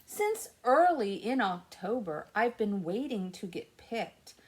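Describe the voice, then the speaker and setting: A woman voicing a lonely, hopeful misfit pumpkin from a children's storybook, with a plaintive, drawn-out sing-song delivery. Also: mid-range voice, very animated, low-quality recording.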